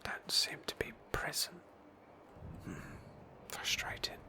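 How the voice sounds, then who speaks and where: Whispering woman narrating ASMR gameplay. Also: a little slow. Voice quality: breathy, hushed whisper. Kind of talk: whispered ASMR roleplay narration of a video game.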